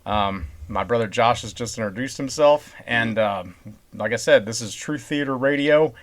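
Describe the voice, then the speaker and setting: A man's voice: medium pitch, normal speed. A man co-hosting a podcast in casual, unscripted talk, with an opinionated, emphatic way of putting things.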